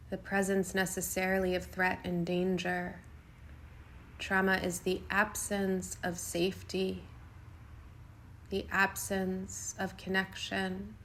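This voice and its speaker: A woman guiding a yoga nidra meditation in a soothing, unhurried way, speaking in short phrases with long pauses. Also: mid-range voice, completely flat delivery, a bit bright, a little hiss or hum. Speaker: meditation guide.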